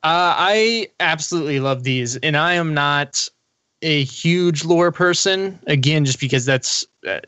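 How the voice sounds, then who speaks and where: A man's voice: fairly high pitch, expressive, a little slow, pushed and bright, clear, resonant tone, no echo. A man giving his casual, enthusiastic opinion as a podcast panelist.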